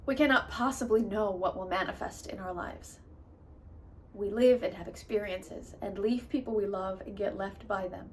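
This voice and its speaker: A woman delivering a theatrical dramatic monologue in an emotive, emphatic way, swinging between soft and loud. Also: medium pitch, normal speed. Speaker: actor performing monologues. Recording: distant mic with clear background noise.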